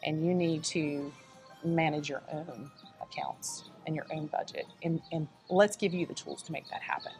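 A woman's voice: low voice, moderate pace, soft background music. A woman with a light Southern accent, a guest telling stories in a conversational interview and acting out the people in them. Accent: Southern American. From American South. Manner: animated storyteller, acts out quoted dialogue. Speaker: nonprofit staff guest.

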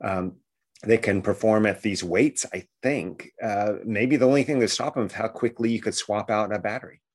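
A man's voice: lowish voice, moderate pace, husky. A man co-hosting a podcast, talking casually and enthusiastically with many fillers and restarts. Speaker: podcast co-host.

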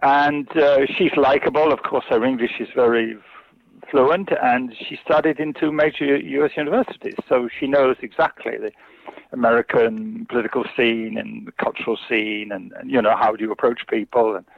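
A man's voice: medium pitch, steady tone, moderate pace, vocal fry, no echo. Man, guest commentator, hesitant with fillers, tinny phone line, dry sound. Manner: hesitant, many fillers and restarts. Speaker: China specialist guest. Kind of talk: interview answers.

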